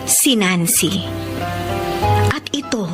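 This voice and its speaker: A woman's voice: mid-range voice, animated, extremely slow. A woman with a Filipino accent playing an earnest, wronged but forgiving sister-in-law in an emotional radio drama, with music underneath. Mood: reflective.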